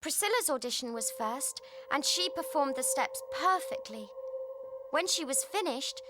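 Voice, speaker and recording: A woman reading scripted narration for a children's read-along story, with expressive storytelling delivery. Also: high-pitched, measured pace, over loud music.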